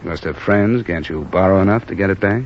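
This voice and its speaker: An actor playing a decent, matter-of-fact insurance investigator in a 1950s radio drama, with a dry, level delivery. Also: male voice, low-pitched, fast talker, a little hiss or hum, natural voice. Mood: firm. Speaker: lead and narrator. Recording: muffled old radio recording with music. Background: music score.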